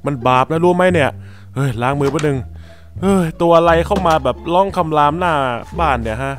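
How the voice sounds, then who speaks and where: High-pitched, exclamatory man in roleplay. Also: fairly quick, soft background music. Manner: exclamatory, colloquial. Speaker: creator voicing characters in a Minecraft roleplay video. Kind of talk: animated improvised roleplay.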